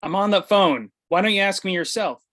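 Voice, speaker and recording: A man's voice: sits high, brisk, fairly bright tone. Guy reading a smug middle-aged dad, blunt and stubborn, brushes everything off, comedy table read. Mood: irritated. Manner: blunt, dismissive.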